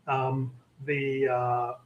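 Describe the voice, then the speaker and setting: A middle-aged man with a London English accent, talking through his career in an interview, measured and full of ums. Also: mid-range voice, completely flat delivery, echoey room, highs cut off, slight background noise. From England. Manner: measured, many ums and uhs. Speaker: guest, tech executive. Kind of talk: interview conversation.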